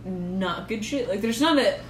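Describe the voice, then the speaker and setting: A woman's voice: mid-range voice, expressive, unhurried. Woman podcast co-host, rambling and giggly, casual chat, roomy and noisy recording. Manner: rambling and giggly, with lots of fillers. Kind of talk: casual chat about wrestling. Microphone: shared recorder. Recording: echoey room with background noise.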